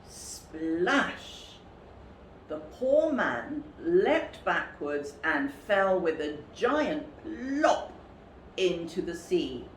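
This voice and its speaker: A woman reading a children's story expressively, with a dramatic, deliberate delivery. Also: on the low side, very animated. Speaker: storybook reader for a school story time. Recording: roomy, distant mic, faint background noise.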